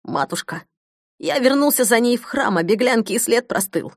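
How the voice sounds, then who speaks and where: A woman's voice: a little high, expressive, moderate pace, no room sound, clean studio sound, voice pushed up. A woman voicing a wounded, jealous young man in an audiobook, earnest and hurt. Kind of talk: audiobook character acting.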